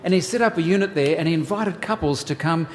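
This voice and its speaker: A man in his sixties with an Australian accent, from New South Wales. He gives a church workshop talk in a relaxed, joking way, with many "uh" fillers. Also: on the high side, fast talker, big-room sound, slight background noise. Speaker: family ministries pastor. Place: church hall.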